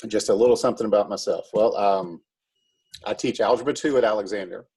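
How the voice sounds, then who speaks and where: Man, lowish pitch, husky, calm, conversational, explaining things. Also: fast. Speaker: high school math teacher and drama director. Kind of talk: casual interview answers.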